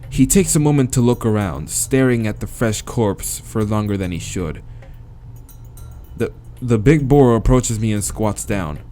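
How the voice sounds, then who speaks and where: An American man reading a visual novel aloud as a let's-play host, dramatic in the narration and breaking into slangy, expressive asides. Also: lowish voice, a little slow, faint music behind. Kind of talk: dramatic read-aloud with casual reactions.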